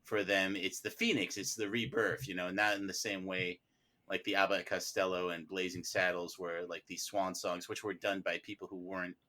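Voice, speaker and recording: Male podcast panelist, casual film debate, sharp bright tone, animated and emphatic delivery. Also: deep voice, normal speed.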